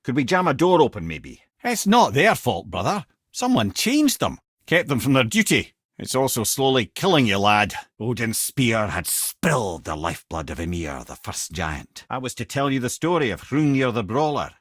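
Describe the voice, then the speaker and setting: A man's voice: mid-range voice, big pitch swings, moderate pace, hardly any pauses, a bit bright, husky, no room sound, clean studio sound. A man voicing an older, wry and learned severed head with a Scottish accent, telling tales and bantering as a companion in a video game. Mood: advising, then grave storytelling. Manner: wry, erudite, avuncular, theatrical storyteller. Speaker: companion and storyteller.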